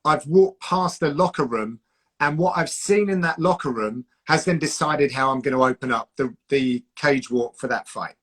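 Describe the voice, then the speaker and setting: A man's voice: mid-range voice, moderate pace, fairly bright tone, husky. A man with a southern English accent hosting a casual live stream, giving reflective advice on broadcasting and often restarting his sentences. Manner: reflective, coaching, with frequent restarts. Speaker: host, combat sports commentator.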